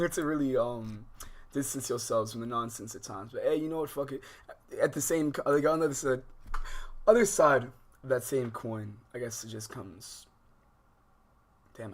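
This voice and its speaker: An American man hosting a livestream, talking casually to viewers in a slangy, profane, rambling way full of asides. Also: mid-range voice. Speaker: livestream host.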